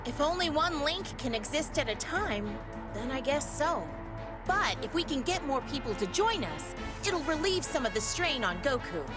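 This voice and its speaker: A woman voicing a clever, confident, take-charge character in an anime video game, expressive, with music behind. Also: high-pitched, moderate pace.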